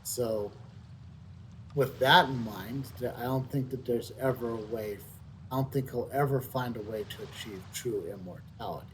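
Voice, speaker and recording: A middle-aged man giving casual, unscripted video game commentary, halting and reflective, repeating words as he thinks. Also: medium pitch, slight background noise, rough recording. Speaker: game streamer. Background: soft video game music.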